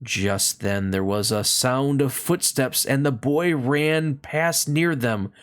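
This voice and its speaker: A storybook reader narrating a children's tale aloud in an expressive read-along style. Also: medium pitch, takes it slowly, clear, resonant tone.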